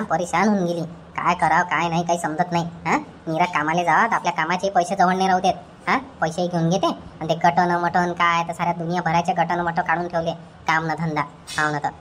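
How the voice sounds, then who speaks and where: A woman voicing a nagging, scolding old mother-in-law in a cartoon comedy, with a rapid ranting way of talking. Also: on the low side, a little hiss or hum, natural voice. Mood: grumbling.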